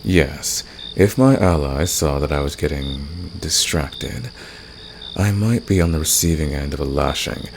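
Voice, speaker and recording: A man playing a flirtatious, teasing fantasy villain with a secretly caring side, speaking intimately to the listener in an ASMR roleplay with a gravelly, seductive delivery. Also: rumbling low, unhurried, exaggerated voice. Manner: teasing, seductive, soft-spoken. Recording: noisy, with nighttime crickets and insects. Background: cricket and insect night ambience.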